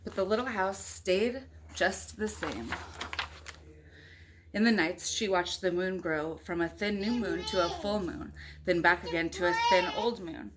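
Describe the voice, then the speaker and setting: Woman, lowish voice, motherly picture-book storytime, informal, chatty asides, faintly noisy home recording. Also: measured pace. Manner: informal, breaks off to talk to small children and ask questions. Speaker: mother reading a picture book to young children and viewers. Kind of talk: casual read-aloud storytime with chatty asides.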